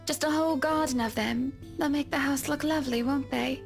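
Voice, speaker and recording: A gentle young wife in a voiced game, polite and softly regretful. Her voice sits high, with faint music in the background. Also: fairly even intonation, brisk, gravelly. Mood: wistful. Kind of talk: voiced video game dialogue.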